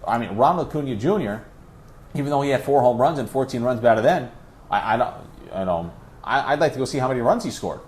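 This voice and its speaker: A man's voice: mid-range voice, lively intonation, quick pace, darkish tone. A man who works as a play-by-play announcer, talking conversationally and at length as an interview guest, pressing his points with emphatic repetition.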